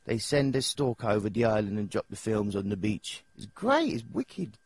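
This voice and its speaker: Husky, jumpy young English cartoon singer. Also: male voice, on the low side, big pitch swings, moderate pace, dry recording, full character voice. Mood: pleased, rambling. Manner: hesitant, rambling, slangy, easily frightened. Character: nervous, dim, childlike young male cartoon singer. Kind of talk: video game character dialogue.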